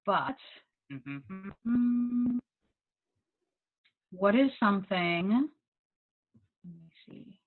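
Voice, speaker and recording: A woman's voice: medium pitch, drawn-out words, pauses often, soft background music. A young American woman, a guest English teaching assistant, chatting casually with students and filling her talk with ums and likes. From United States. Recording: tinny phone-like video call audio.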